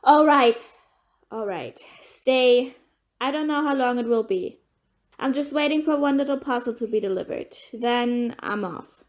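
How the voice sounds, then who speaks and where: A woman's voice: fairly high pitch, measured pace, natural voice. A woman playing a crusty, irritable, defiant mother, blunt and dismissive, in an audio play. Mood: brusque. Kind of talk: amateur audio play acting.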